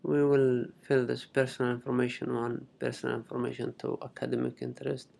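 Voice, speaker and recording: A young man from Saudi Arabia with a Saudi Arabic accent, a native Arabic speaker, giving a halting, unscripted step-by-step screen tutorial in simple phrases. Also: medium pitch, monotone, creaky, darkish tone. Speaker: international student giving a tutorial. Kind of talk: unscripted screen-recording walkthrough.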